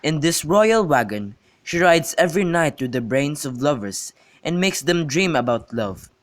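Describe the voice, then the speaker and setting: A young man in an amateur radio drama, playing a witty, teasing young gentleman and declaiming in a bantering way. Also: a little high, big pitch swings, normal speed. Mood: fanciful storytelling. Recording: clearly noisy amateur recording with clicks.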